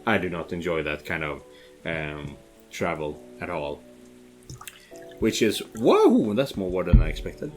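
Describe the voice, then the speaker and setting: Male streamer, very animated range, casual and rambling, music under the voice. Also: sits low, unhurried. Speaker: streamer playing and commenting on a visual novel. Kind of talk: casual let's play commentary.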